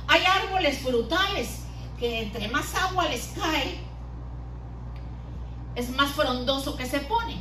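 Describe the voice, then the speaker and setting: A woman's voice: high voice, a bit bright. Woman preaching in an echoey hall. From Guatemala. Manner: homely, uses diminutives and everyday examples. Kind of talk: sermon. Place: church hall. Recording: echoey room from a distance, noisy.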